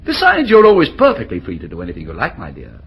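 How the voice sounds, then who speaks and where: An actor playing a suave middle-aged suitor, courtly and florid, in an old radio comedy-drama. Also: male voice, mid-range voice, wildly swooping pitch, fast, a bit bright, breathy edge, heavily acted. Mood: mock jealous. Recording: hissy old radio recording.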